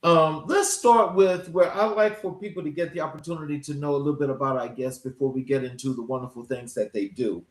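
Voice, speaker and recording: A man hosting an interview show, speaking in deliberate, oratorical phrases. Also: fairly high pitch. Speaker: show host. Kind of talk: scripted introduction and interview hosting. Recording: roomy, echoing sound.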